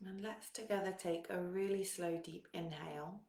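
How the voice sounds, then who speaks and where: A woman leading a guided meditation in a calm, soothing manner, giving gentle instructions with long pauses. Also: on the low side, creaky, roomy sound.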